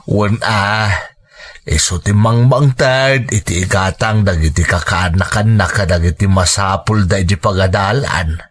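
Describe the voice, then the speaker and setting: An actor playing a grateful, gently chiding elderly father and grandfather in a radio drama, with an Ilocano accent. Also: low-pitched, unhurried, husky. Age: older.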